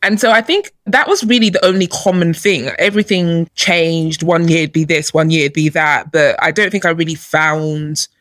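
Woman, lively guest storyteller, dry close mic. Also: lowish voice, normal speed, few pauses, no room sound. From born in Nigeria, raised in London. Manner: lively storyteller, laughs often. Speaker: guest, chief executive. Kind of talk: casual podcast interview.

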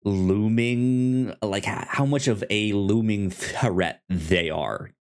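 Male podcast co-host, lower-pitched, unhurried and halting, casual recap chat. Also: animated.

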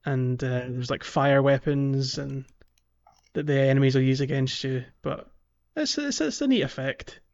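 A man co-hosting a video game podcast, chatting casually and explaining things in a halting way. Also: mid-range voice, animated, vocal fry, darkish tone, muffled recording. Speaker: podcast co-host.

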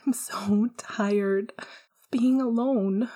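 A tender young man holding back tears, his words coming soft and breathy in a near-whisper, as a woman reads him aloud. He speaks with a gentle, aching closeness. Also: medium pitch, steady tone, slow. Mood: tender, choked up.

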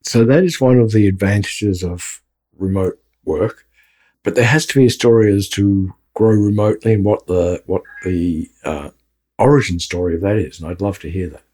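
Low, mellow Australian man. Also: normal speed. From Melbourne, Australia. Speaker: podcast co-host. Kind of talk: casual interview.

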